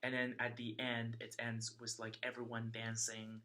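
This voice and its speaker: A man's voice: mid-range voice, monotone, pushed and bright. Chatty young man telling a travel story. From China. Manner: chatty, halting storytelling with many fillers. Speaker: interviewee sharing a travel story. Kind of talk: casual chat.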